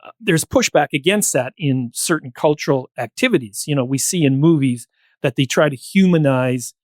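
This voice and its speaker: A man speaking as a podcast guest in a thoughtful discussion, explaining ideas with halting pauses. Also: a little high, lively intonation, dry recording, clean studio sound. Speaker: podcast guest, playwright and actor.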